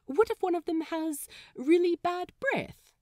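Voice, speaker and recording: A woman voicing a cheerful, fussy gentleman pirate captain in an audiobook, theatrical and genteel. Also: very high-pitched, lively intonation, unhurried, dry recording, studio quality, pitched above the performer's own voice. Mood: animated. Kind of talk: character voice in fan fiction audiobook.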